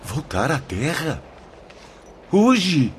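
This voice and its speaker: A man's voice: on the high side, huge pitch swings, extremely slow, a little hiss or hum, heavily acted. An actor playing a sentimental, eager and wistful man in a radio drama. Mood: eager, nostalgic. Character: João, sentimental Portuguese man, nostalgic, eager.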